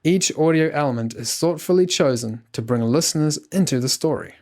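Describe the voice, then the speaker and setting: A man's voice: on the high side, a little fast, darkish tone. A man reading scripted documentary narration as the host.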